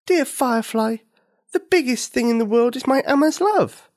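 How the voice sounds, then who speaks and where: A woman voicing a loving, earnest little girl in a children's story, with a high, pleading childlike voice. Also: lively intonation, moderate pace, a little mellow, lightly acted.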